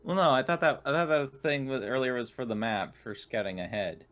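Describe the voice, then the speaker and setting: A man's voice: mid-range voice, animated, fairly quick, fairly bright tone. A man chatting casually as a player in a tabletop roleplaying game, talking through his rolls.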